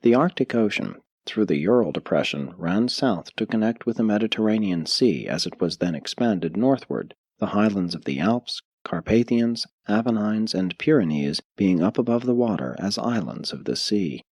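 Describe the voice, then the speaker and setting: A man reading a book aloud as an audiobook narrator, in a measured, steady way with pauses between listed items. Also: on the low side, husky, dry recording, studio quality.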